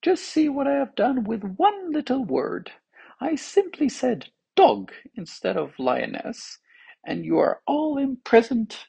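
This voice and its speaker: A narrator voicing a boastful high-pitched talking tongue. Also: big pitch swings, measured pace, creaky, mellow, breathy edge, full character voice. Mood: gloating. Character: boastful, vengeful talking tongue, gloating and sly.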